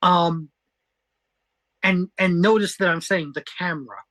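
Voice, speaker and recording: Emphatic, lower-pitched woman podcaster. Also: measured pace, a bit bright. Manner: emphatic, opinionated, interjecting. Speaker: podcast co-host. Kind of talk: animated review chat.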